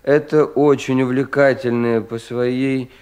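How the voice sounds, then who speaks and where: An actor playing a troubled, self-doubting young provincial official in a 1970s Russian radio play, in an anxious, theatrical manner. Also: male voice, mid-range voice, fairly even intonation, a little slow, smooth, close to an everyday voice. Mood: declamatory reading. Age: young adult. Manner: brooding, theatrical, self-questioning, with sudden swells and hushed drops.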